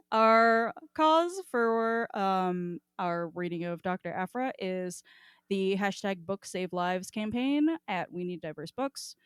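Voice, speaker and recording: A woman hosts a chatty podcast with a smooth, clear voice at a middle pitch. She is informal and irreverent, swearing freely. The sound is dry, with very little room to it. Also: no room sound. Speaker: podcast host. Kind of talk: casual chat.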